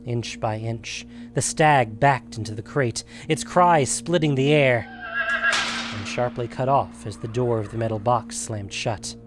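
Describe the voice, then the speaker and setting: A man narrates a scripted fantasy story in a medium-pitched voice. His delivery is measured and dramatic, shaped for storytelling. Faint music plays underneath him.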